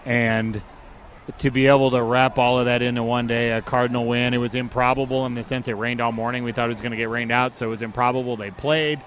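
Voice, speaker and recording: A hoarse man in his forties, a guest telling stories with animated enthusiasm. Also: medium pitch, quick pace, a little hiss or hum. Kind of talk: casual interview.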